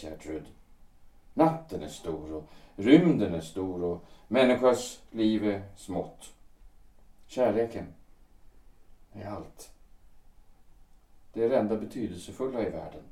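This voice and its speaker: An older man playing a lonely former lover in an audio drama, speaking in a reflective, halting way. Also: low-pitched, recorded from a distance. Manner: reflective, halting, breathy at the quiet ends of phrases. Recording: roomy echo, a little off-mic.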